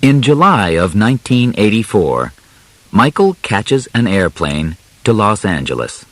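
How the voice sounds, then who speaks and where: Male narrator for a simplified audiobook: very unhurried, clearly articulated phrasing, lively intonation, dry close sound. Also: lowish voice, dry recording. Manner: deliberate, carefully enunciated, short phrases with pauses.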